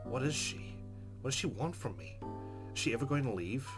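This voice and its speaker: A man's voice: medium pitch, expressive, fairly quick. A man hosting a let's play, reading game narration expressively with casual, playful asides. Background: game music.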